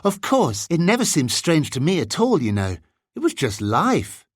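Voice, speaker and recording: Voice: medium pitch, huge pitch swings, moderate pace, slightly rough, dry recording, studio quality, voice pushed up. A narrator voicing an easygoing, modest young English boy wizard in an audiobook, casual and a little bemused. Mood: matter-of-fact.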